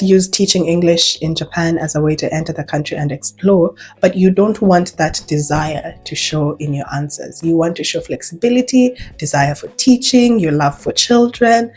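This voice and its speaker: Husky low-voiced Kenyan woman giving advice. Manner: emphatic, conversational, animated with repeated points. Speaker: English teacher giving job advice. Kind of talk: advice vlog, speaking to camera.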